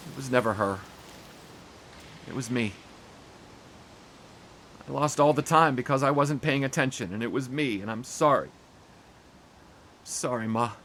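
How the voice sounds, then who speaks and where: An actor playing an earnest young sportswriter in an audio drama, speaking in a halting, hushed, apologetic way. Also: male voice, mid-range voice, a little hiss or hum.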